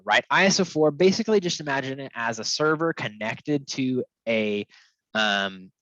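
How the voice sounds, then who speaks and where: A man's voice: medium pitch, bright, forceful tone, dry recording. A man presenting technical material in an informal, conversational webinar style, often checking in as he explains. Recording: dull, lo-fi, dry close sound.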